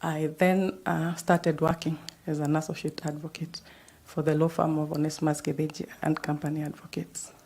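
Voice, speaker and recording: A Kenyan woman in her fifties with a Kenyan accent, answering questions in a formal interview with measured pauses and fillers. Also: deep voice, little pitch movement, a little mellow, husky. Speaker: job candidate.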